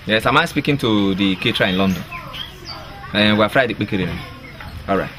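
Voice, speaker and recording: A man playing a boastful young villager who brags loudly on the phone in a Nigerian village film, recorded outdoors. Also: on the low side, brisk, natural voice. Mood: bragging. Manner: blustering, bragging on the phone. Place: outdoors in a rural village yard. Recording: outdoor recording with noticeable background noise.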